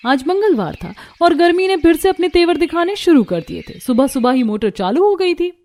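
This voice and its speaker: A woman's voice: extremely high pitch, very animated, normal speed, a little mellow. A woman narrating a scripted Hindi cartoon story as its storyteller.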